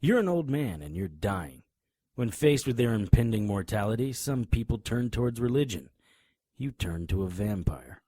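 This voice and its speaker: A man's voice: on the low side, big pitch swings, normal speed, mellow, dry recording. An actor playing a tough, righteous male private detective in an audio drama, confrontational and sardonic. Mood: confrontational, accusing. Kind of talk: hardboiled audio drama acting.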